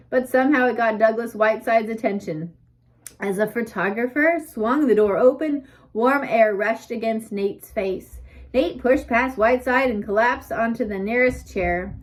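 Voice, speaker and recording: A woman narrates a story from a book in a fairly high voice. She reads as a storyteller would, giving key words expressive emphasis and leaving pauses for drama. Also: low-quality recording. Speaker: audiobook reader.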